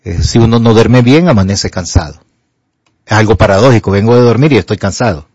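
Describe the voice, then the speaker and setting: An older man with a Honduran Spanish accent, a doctor on a radio call-in show who gives health advice in an emphatic, animated way. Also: lowish voice, brisk, no room sound, highs cut off. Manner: animated, emphatic, rises to pleading.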